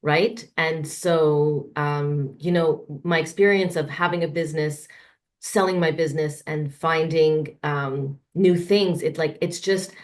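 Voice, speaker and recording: A woman's voice: sits low, fairly even intonation, unhurried. A woman hosting a podcast, musing in a rambling way with many fillers before she asks her questions. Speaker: podcast host. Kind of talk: casual interview conversation.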